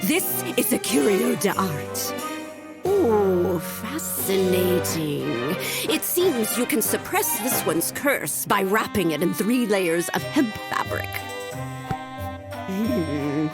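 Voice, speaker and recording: A woman voicing a shrewd, composed older madam with a theatrical, wry, measured delivery in a video game. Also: mid-range voice, very animated. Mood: fascinated. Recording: loud music behind the voice.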